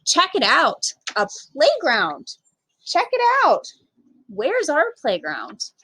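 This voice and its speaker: Woman voicing an eager, very high-pitched little kid. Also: wildly swooping pitch, takes it slowly, pushed and bright, exaggerated voice. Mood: excited. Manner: exclamatory, pleading. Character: young children playing, eager and easily hurt.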